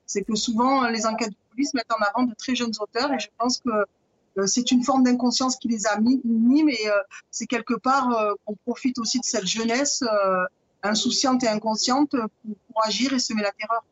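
A woman lawyer, speaking for a victims' collective, joins a debate by video call. She answers in a measured way with frequent fillers. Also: on the high side, steady tone, no room sound. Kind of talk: studio debate guest. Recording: muffled dry video call.

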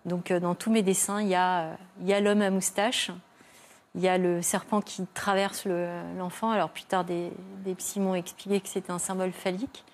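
An older woman speaking as a guest on a television talk show, giving a personal testimony with many pauses, fillers and restarts. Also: fairly low pitch, little pitch movement. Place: television studio.

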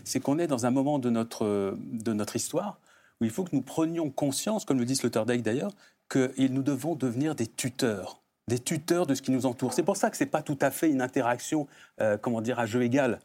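A man's voice: mid-range voice, expressive, fairly quick, warm tone. A man debating off the cuff, earnest and emphatic, building his argument by repeating phrases. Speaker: panel debater. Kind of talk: unscripted intellectual debate.

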